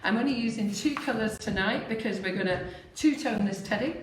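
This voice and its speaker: Woman, squeaky voice, chatty live baking demo; roomy kitchen, distant mic. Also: brisk. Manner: chatty, laughs often, explains steps plainly. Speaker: baking show co-host. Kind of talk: live cake decorating demo. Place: home kitchen in Spain. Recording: echoey kitchen, far mic, hiss.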